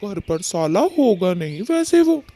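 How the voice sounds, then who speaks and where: A man's voice: high voice, big pitch swings, unhurried, dark tone, a little hiss or hum. A man voicing a blunt, angry, protective husband pigeon in a Hindi cartoon story, confrontational in manner. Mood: indignant.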